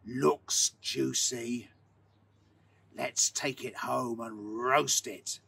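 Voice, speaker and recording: A greedy miller whispers slowly in the darkness, as a male storyteller puts him on. The voice is breathy and hushed, and sounds a little further from the mic. It has a sly, storybook tone. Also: mid-range voice, fairly even intonation, distant mic, heavily acted.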